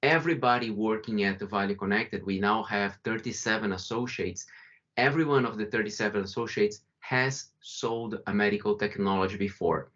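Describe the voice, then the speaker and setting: A man's voice: lowish voice, normal speed, a bit bright. A man from Brazil with a Brazilian accent, giving a conversational webinar presentation over a video call, often restarting and correcting himself. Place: remote call from home or office. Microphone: video call microphone. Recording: muffled video call audio.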